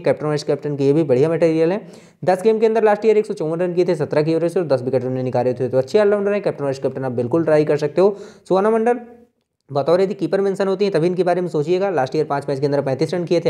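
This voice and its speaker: Very fast-talking Indian man, higher voice. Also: mellow. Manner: brisk, running through player statistics. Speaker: fantasy cricket tipster and channel host. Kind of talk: informal match preview commentary over on-screen stats.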